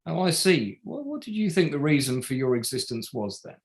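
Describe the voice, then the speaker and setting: Guy acting a sardonic inquiry lawyer, man's voice on the high side, really up and down, formal, keeps needling with questions, play read-through. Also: sing-song, a little fast. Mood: pointed, probing. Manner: pointed, formal, rhetorical tag questions. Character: probing, sardonic male barrister at a public inquiry. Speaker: actor in an online table read. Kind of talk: courtroom-style cross-examination in a play reading.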